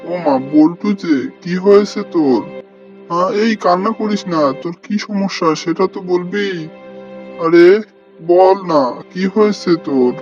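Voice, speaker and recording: A man's voice: high-pitched, measured pace, darkish tone, muffled recording, faint music behind. A man voicing a kindly middle-aged village uncle in a cartoon, grumbling but caring, with fatherly scolding. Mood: concerned. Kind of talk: cartoon dialogue.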